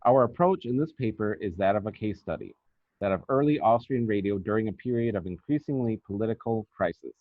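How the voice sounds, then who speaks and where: A man's voice: on the low side, lively intonation, fairly quick, dry recording. A man reading a scripted academic paper aloud, on a muffled, narrow-band recording. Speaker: academic researcher presenting a paper.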